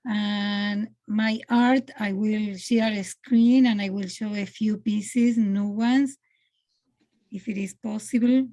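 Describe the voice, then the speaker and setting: A woman from Argentina with an Argentinian Spanish accent, presenting her art on a video call, halting and searching for words. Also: medium pitch, fairly even intonation, warm tone. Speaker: presenting artist. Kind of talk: online artist presentation.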